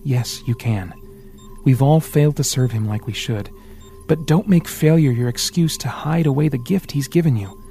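A man voicing a steady, fatherly hero who talks in a calm, reassuring, earnest way. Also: medium pitch, moderate pace, faint music behind, lightly acted. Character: steady man with a metal arm, fatherly and resolute.

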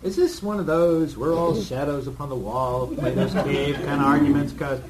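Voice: medium pitch, moderate pace, a loud crowd, natural voice, low-quality recording. An actor playing a grumpy, sarcastic little sidekick in comic radio theatre, dry and unimpressed.

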